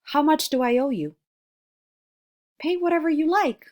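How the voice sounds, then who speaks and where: A woman's voice: high-pitched, measured pace, darkish tone, pitched above the performer's own voice. Woman acting young girl, earnest, storybook letter reader, slightly lifted voice. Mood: earnest, polite.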